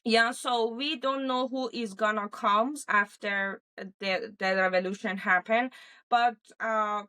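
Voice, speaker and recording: Bright-voiced Iranian woman, halting delivery. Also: on the high side, steady tone, smooth. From Iran. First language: Persian. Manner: halting, searching for words in non-native English. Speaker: interview guest giving a first-hand account. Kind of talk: unscripted interview answers.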